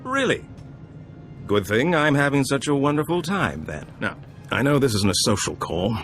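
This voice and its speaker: An actor playing a suave older gambler and information broker in a video game, smooth-talking, theatrical and pleased with himself. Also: male voice, medium pitch, big pitch swings, normal speed, fairly bright tone, soft background music. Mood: confiding. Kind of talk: video game dialogue acting.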